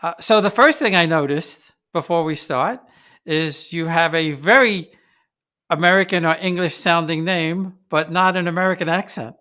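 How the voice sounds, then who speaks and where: A man hosts an interview in a bright, somewhat high voice. He talks in a loose, rambling way, often restarting his sentences before he gets to the question. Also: moderate pace. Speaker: podcast host. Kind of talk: conversational interview.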